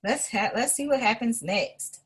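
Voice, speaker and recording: Woman, storybook read-aloud, slow pace, lively pitch, slightly husky voice, expressive. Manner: expressive, with asides to a child listener. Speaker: art educator reading a story aloud.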